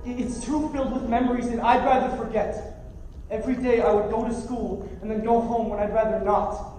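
A man's voice: extremely high pitch, steady tone, fairly quick. Distant, echoing man as a brooding teenage boy. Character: brooding teenage high school delinquent boy, blunt. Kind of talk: stage play acting with narrated monologue. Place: large theatre stage, recorded from the audience. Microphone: far camera. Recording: echoey theatre from far off, loud music.

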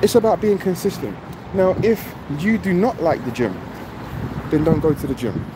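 A middle-aged man speaks casually and emphatically into a handheld phone, his voice sitting a little high for a man. He circles back to the same points and keeps checking in with the listener. Loud car and street noise runs underneath him. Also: very animated, normal speed. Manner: emphatic, repeats points, many "you know what I mean". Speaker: vlogger. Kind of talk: casual selfie vlog. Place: outdoors on a street by a shop, traffic around. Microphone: phone at arm's length.

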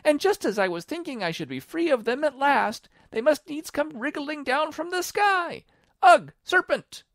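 Casting: man to play an indignant, frantic mother pigeon for a storybook; shrill, high, scornful, loud outbursts. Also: wildly swooping pitch, moderate pace, dry recording, clean studio sound, heavily acted. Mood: shrieking. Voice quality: shrill, screechy. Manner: accusing, contemptuous. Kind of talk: storybook character voice.

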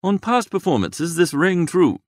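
A man's voice: high voice, big pitch swings, measured pace, no echo, studio quality. A man playing a flippant, languid young upper-class idler, narrating a comic audiobook in a wordy, breezy, droll way.

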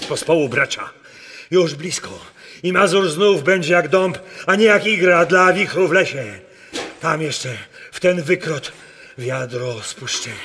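A man's voice: high-pitched, a bit bright. A man acting a devout, hopeful peasant treasure seeker in a Polish radio drama, solemn and prayerful in folksy old-fashioned speech. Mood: grim resolve. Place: wooden barn used as radio drama set. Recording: reverberant barn, faint noise.

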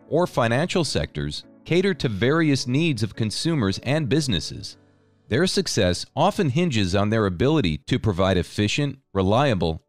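Man, scripted voice-over, animated pitch, smooth even delivery, faint music underneath. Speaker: narrator. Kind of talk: scripted narration. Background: soft background music.

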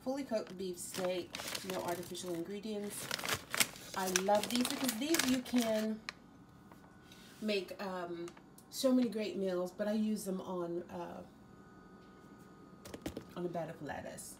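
A woman's voice: mid-range voice, unhurried, long pauses, distant mic, heavy background noise. A woman vlogger chatting casually about her groceries at home, rambling with ums and restarts. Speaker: fitness vlogger. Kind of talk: casual grocery haul chat. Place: home kitchen, indoors.

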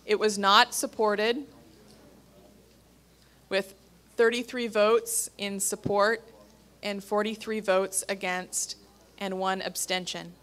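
A woman chairs a formal meeting, giving procedural announcements. She speaks very slowly and deliberately at an average pitch. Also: flat delivery, a bit bright.

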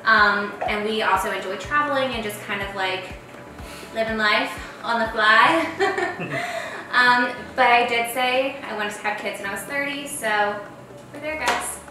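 A woman's voice: on the high side, unhurried. A woman in her late twenties chatting casually as a vlogger while cooking in a home kitchen, bubbly and full of "like"s. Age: late 20s. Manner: chatty, bubbly, heavy use of "like". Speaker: lifestyle vlogger. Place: large home kitchen, indoors. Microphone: far camera mic. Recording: echoey kitchen from far off, background music.

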